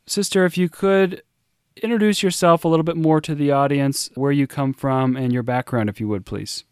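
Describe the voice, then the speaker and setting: Guy hosting a faith podcast, polite and even, dry recording, medium pitch. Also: clear, resonant tone, no echo. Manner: polite, measured. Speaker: podcast host. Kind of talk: scripted podcast intro and interview.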